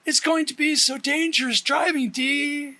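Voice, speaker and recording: Audiobook friend: troubled, anxious man, emotional delivery, high bright voice, acted by the narrator. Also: steady tone, unhurried, full character voice. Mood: worried. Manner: exclaiming, anxious.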